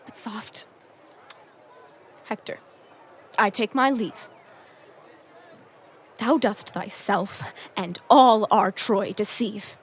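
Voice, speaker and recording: A woman's voice: mid-range voice, extremely slow, long pauses, husky. A woman playing a grief-stricken young prophetess foretelling doom in a Shakespearean audio drama. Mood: anguished.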